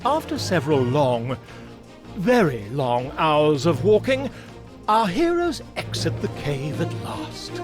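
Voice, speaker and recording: A man's voice: a little high, very animated, slow pace. A man playing the wry, grand storybook narrator of a comic adventure game, with a theatrical delivery. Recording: music behind the voice.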